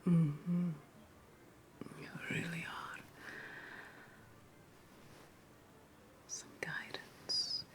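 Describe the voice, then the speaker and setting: A woman playing a sly, condescending, seductive witch hostess of ancient Rome in a whispered ASMR roleplay, with breathy, lingering hums. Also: on the low side, level tone, very slow. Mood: condescending, pitying.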